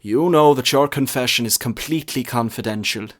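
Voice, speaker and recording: A man voicing a world-weary, stern parish priest in a story, with a measured, solemn, admonishing manner. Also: mid-range voice, fairly bright tone, lightly acted. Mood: calm. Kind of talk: short story character reading.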